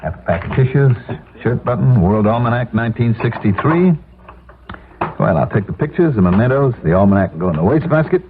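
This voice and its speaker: A man's voice: medium pitch, expressive, a little fast, close to an everyday voice. An actor playing a middle-aged office man who has lost his job, wry, weary and self-doubting, in a 1970s radio drama. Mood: wry resignation. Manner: wry, resigned, hesitant. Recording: tinny, noisy old radio broadcast recording.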